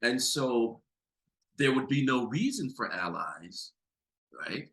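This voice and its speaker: A man with a deep voice and an American accent, a psychologist speaking as a podcast guest, talking with emphatic, building intensity. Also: very animated, slow pace, a bit bright. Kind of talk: impassioned conversational talk.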